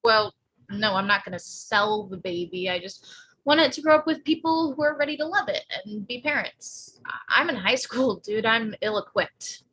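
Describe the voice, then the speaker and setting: A woman reading the part of a blunt, flippant pregnant teenage girl in a screenplay table read, with slangy deadpan delivery. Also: fairly high pitch, moderate pace, slight background noise, low-quality recording. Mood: earnest, protesting. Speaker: actor in a live table read.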